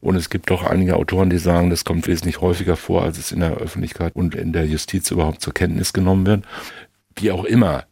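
A man talking analytically as a legal expert in a discussion, halting and deliberate, in long winding sentences. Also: sits low, flat delivery, creaky, mellow, breathy edge, no room sound, clean studio sound.

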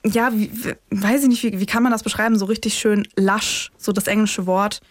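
Female podcast co-host, informal and chatty, mid-range pitch, dry close recording. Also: fairly even intonation, moderate pace, no room sound. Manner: chatty, informal, laughs easily. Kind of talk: casual chat between co-hosts.

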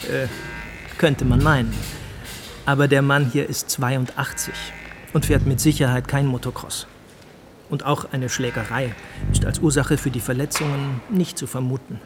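A man's voice: medium pitch, measured pace, long pauses, over music, natural voice. A man playing a touchy, matter-of-fact trauma surgeon in a radio drama, speaking in clipped clinical phrases.

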